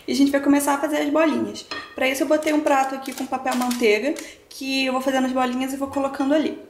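A woman's voice: sits high, little pitch movement, fast, echoey room, a little hiss or hum. A young woman in her twenties from Brazil, chatting through a cooking tutorial in her home kitchen and explaining each step to her viewers. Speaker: cooking vlogger.

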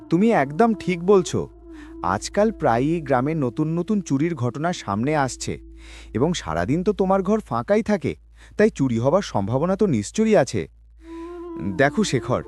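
Cartoon casting: well-off village employer played by a man, condescending authority, slightly high and very expressive, faint music behind. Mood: advising. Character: wealthy village landowner employing a poor labourer. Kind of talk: Bengali moral-story cartoon dub. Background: background music.

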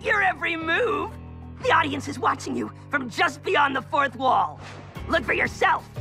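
High, gravelly, pompous cartoon villain. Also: male voice, lively intonation, normal speed, pushed and bright, soft background music, heavily acted. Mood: smug. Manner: theatrical, scornful, ranting. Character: pompous, bitter male couch-potato villain. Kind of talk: animated cartoon acting.

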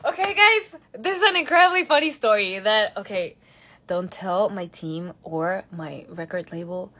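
A woman's voice: mid-range voice, expressive, moderate pace, bright, forceful tone. A young woman from Mexico, chatting casually and giggling as she answers fans on a phone livestream from a large indoor room. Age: young adult. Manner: giggly, chatty, switches between English and Spanish. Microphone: phone camera at arm's length.

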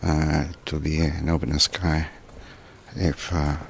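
Male, Chilean Spanish accent, low voice, halting amateur radio vlogger, slightly noisy handheld recording. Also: level tone, gravelly. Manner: halting, with many pauses. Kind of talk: informal narrated radio demonstration. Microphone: handheld camera's built-in microphone. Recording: noisy handheld camera audio.

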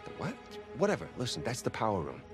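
A man's voice: mid-range voice, fast, over music. An actor voicing a cocky, teasing adult man in a video game, sneaking around with his brother. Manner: teasing, animated. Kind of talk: video game cutscene acting.

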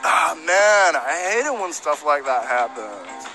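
An actor playing an easygoing but skittish ticket usher in a comic video game, with a drawling manner. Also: male voice, on the high side, very animated, takes it slowly, sharp tone, soft background music, exaggerated voice. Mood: sympathetic, amused.

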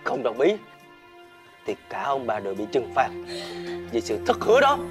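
A stern toad spirit from a fairy-tale drama, commanding and imperious, with a Southern Vietnamese accent. His pitch swings widely, and music plays behind him. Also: wildly swooping pitch, moderate pace. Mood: angry, threatening.

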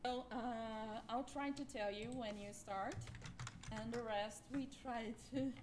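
A young woman in her twenties records a friendly, slightly nervous greeting into her cell phone. Her voice sits a little high, and she slips in small laughs. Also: steady tone, slow pace, darkish tone, a little hiss or hum. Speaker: duet partner.

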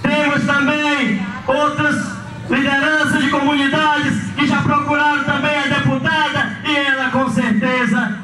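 A man's voice: very high voice, level tone, unhurried, very noisy. A young man from Maranhão with a Brazilian accent, giving a declamatory speech outdoors at night, often raising his voice, on a handheld mic. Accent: Brazilian Portuguese, from Maranhão. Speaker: local community leader giving a speech. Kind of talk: outdoor public speech at a community event. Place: outdoors at night on a street, crowd around. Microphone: handheld mic into a PA system. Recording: echoey PA sound from a far camera, loud ambience.

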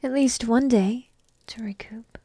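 Woman voicing a soft, whispery teasing dragon princess. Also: fairly high pitch, no echo. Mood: languid, coy. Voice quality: soft, breathy, often dropping into whispers. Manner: slow, teasing, intimate delivery with pauses. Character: petite dragon princess, teasing, playful, secretly affectionate. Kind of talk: ASMR roleplay. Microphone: close microphone in a dry room.

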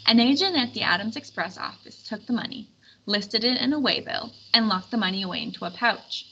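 A woman reading a story aloud as narrator, with expressive scripted delivery. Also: mid-range voice. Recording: dull lo-fi recording with background noise.

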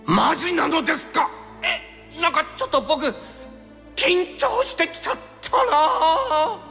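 A man's voice: very high voice, expressive, takes it slowly, gravelly, bright, forceful tone, exaggerated voice. An actor playing an easygoing young male time traveler in an anime game, stammering and flustered, with casual yet nervously polite speech. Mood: nervous, bewildered. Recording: long reverb over background music.

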